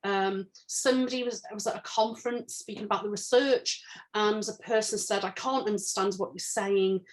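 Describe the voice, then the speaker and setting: A woman from England talks through her research findings in a relaxed interview. She is candid and earnest, and her voice sits in a middle register. It lifts with emphasis when she is indignant. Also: mid-range voice, moderate pace, rough recording. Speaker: author discussing her research. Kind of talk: conversational interview.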